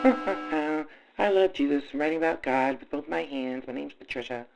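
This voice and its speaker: A man's voice: a little high, normal speed, gravelly, very noisy. A man voicing a perky, naive, self-absorbed small-town girl in an improvised comedy radio play, rambling in folksy gosh-golly talk. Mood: pious, smug.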